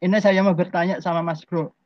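High-voiced man hosting a podcast. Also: steady tone, fast, no echo. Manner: enthusiastic, friendly questioning. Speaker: podcast host. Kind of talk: casual interview. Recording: dry, dull lo-fi sound.

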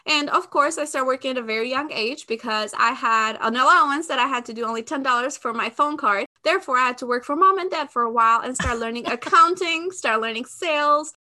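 A woman's voice: high voice, pushed and bright. A woman of about thirty from Albania with an Albanian accent, chatting expressively as an interview guest. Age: around 30. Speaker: podcast guest, sales expert. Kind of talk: conversational interview answers.

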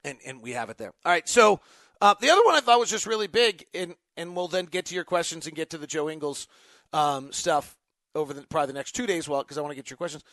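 A man's voice: fairly high pitch, animated, quick pace, a bit bright, no echo. An American man hosting a casual live sports podcast, conversational and emphatic, giving his opinions as he goes. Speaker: host, basketball announcer and insider.

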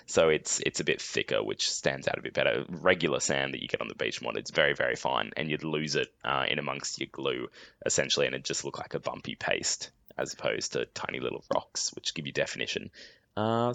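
A man co-hosting a podcast in a relaxed, self-deprecating way, his voice still a bit croaky after a late night. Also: extremely low pitch, a little fast, no echo. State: slightly croaky voice. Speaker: podcast co-host. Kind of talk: casual chat.

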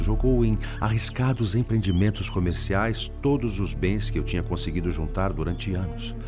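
A low male voice with expressive swings plays an anguished spirit in a Brazilian radio drama. He speaks formally and eloquently with a Brazilian accent, weighed down by sorrow. Music plays clearly behind him. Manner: formal, eloquent, sorrowful, halting when troubled. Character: Pedro, anguished male spirit, once a principled lawyer.

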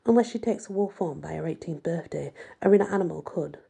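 Woman reading as a husky, wry teenage girl. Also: lowish voice, fast, warm tone, heavily acted. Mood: defensive. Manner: wry, evasive. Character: sardonic, defensive teenage girl, dreamy fairy-tale heroine.

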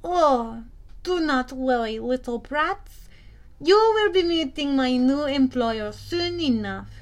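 Woman voicing a cruel, imperious male monster, slow and formal. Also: high-pitched, animated. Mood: cruel taunting.

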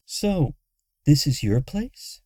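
A man's voice: fairly high pitch, big pitch swings, slow, dark tone, dry recording, clean studio sound, voice pushed up, full character voice. A man voicing a sarcastic, exasperated adventurer woman who snaps her lines in a fantasy audiobook. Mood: snappy, sarcastic.

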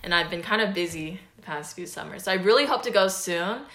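A teenage girl from the US, co-hosting a podcast in a relaxed, chatty way with lots of "like" and "um". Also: fairly low pitch, fairly quick, reverberant. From United States. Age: teens. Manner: relaxed, chatty, many fillers like "like" and "um". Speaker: podcast host. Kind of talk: casual chat.

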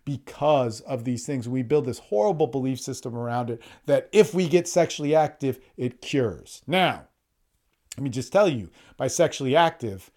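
A man's voice: medium pitch, animated, normal speed, a little mellow. A man giving an informal motivational coaching talk in an emphatic, blunt way, swearing freely and repeating phrases to drive points home.

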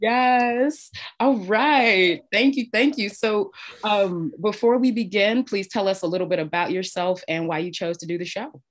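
A woman's voice: mid-range voice, a little slow. A woman in her thirties hosting a podcast, reading a welcome and then chatting with enthusiasm. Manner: upbeat, scripted welcome then enthusiastic chat. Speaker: podcast host. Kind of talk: podcast introduction and interview.

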